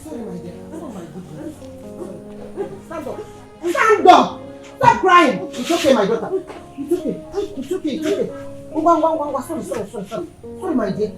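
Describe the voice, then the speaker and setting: A woman's voice: high voice, wildly swooping pitch, slow pace, pauses often, music in the background, heavily acted, poor audio. A protective Nigerian mother and wife in an emotional film drama, exclaiming and repeating herself insistently. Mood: soothing, distressed. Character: protective, indignant mother and wife standing up for her daughter.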